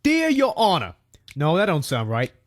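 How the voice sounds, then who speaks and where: A man's voice: fairly high pitch, huge pitch swings, normal speed. A man voicing a cocky young wise guy convict, slangy and griping, in a comic dub.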